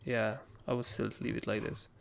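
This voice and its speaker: Hoarse young guy w/ gravelly fry, rambling casual stream host, swears a lot. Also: medium pitch, level tone, moderate pace, slight background noise. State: hoarse, raspy voice. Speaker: crypto trading livestream host. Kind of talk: casual live chart analysis.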